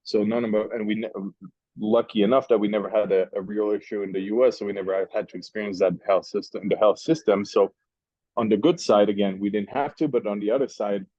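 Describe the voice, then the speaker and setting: Low-voiced Swiss man, rambling over lunch. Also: steady tone, a little fast, mellow, dry recording, muffled recording. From Switzerland. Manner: rambling, with restarts and long asides. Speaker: interview guest, cigar maker. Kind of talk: casual lunch chat. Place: restaurant at lunch.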